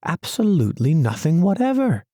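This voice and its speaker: A man's voice: a little high, huge pitch swings, normal speed, warm tone, breathy edge, no echo, studio quality, pitched above the performer's own voice, heavily acted. A man voicing a fussy, literal-minded male mole in a storybook reading, with a prim, pettish manner. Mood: exasperated.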